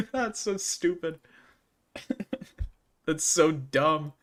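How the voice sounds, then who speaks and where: A man's voice: fairly high pitch, animated, drawn-out words, fairly bright tone. A man from the Midwestern United States with a Midwestern American accent, streaming a game and casually answering chat messages with frequent laughter. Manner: reads chat messages aloud and answers them, laughing often. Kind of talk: casual livestream chat while gaming.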